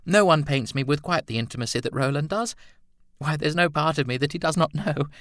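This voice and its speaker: A man's voice: on the high side, fairly quick, fairly bright tone, no room sound, studio quality, voice pushed up, lightly acted. A man voicing a flirtatious, self-assured woman, an artist's model with a playful, poised manner, in an audiobook novel. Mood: teasing.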